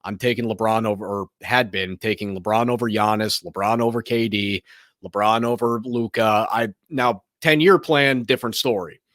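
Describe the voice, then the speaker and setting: A man hosting a sports show, giving casual, opinionated commentary in a slightly hoarse, raspy voice, rambling and often restarting his sentences. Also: lowish voice, steady tone, normal speed, a bit bright, no echo, studio quality.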